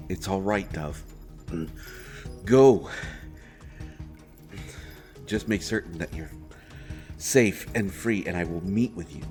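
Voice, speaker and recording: A man playing an earnest, protective royal interrogator secretly helping a prisoner in a fantasy audio roleplay, speaking haltingly and with urgency. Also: deep voice, full character voice. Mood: urgent, protective. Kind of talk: dramatic fantasy audio roleplay addressed to the listener.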